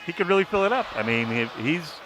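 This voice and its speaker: Higher-pitched male sports panelist, noisy arena. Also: very animated, fast, fairly bright tone. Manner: repeats phrases, rhetorical questions. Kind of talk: casual sports chat. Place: large indoor arena. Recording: noisy arena ambience behind.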